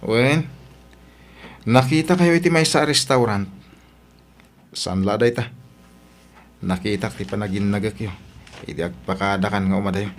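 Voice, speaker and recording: A man's voice: lowish voice, normal speed, pauses often, slight background noise, natural voice. A man with an Ilocano accent playing a concerned friend who scolds his buddy in an audio drama. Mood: reproachful.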